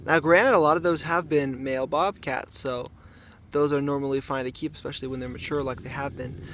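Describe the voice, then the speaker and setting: A man with a raspy voice today, talking casually and thoughtfully to a camera while checking traps outdoors, with filler ums and restarts. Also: mid-range voice, fairly even intonation, fairly quick, a bit bright, a little hiss or hum. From southern Arizona. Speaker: trapper filming his trapline. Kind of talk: casual outdoor vlog narration. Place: outdoors in desert washes.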